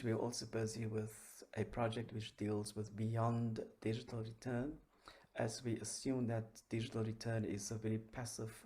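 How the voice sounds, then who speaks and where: Low, mellow man presenting research. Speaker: academic researcher presenting at a symposium. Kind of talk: academic conference presentation.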